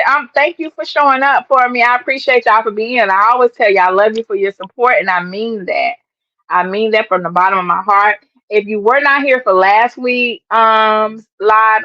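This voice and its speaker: A woman's voice: medium pitch, pushed and bright, no echo. Chatty woman live-streamer, dull dry sound. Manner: chatty, emphatic, addresses viewers as y'all. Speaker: livestream host and sports fan. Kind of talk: casual live-stream chat.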